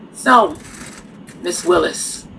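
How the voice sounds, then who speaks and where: An actress playing a poised, worldly nightclub singer in a radio drama, with theatrical, wryly warm delivery. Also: female voice, slight background noise. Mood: gentle. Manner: theatrical, unhurried, uses endearments like darling and honey.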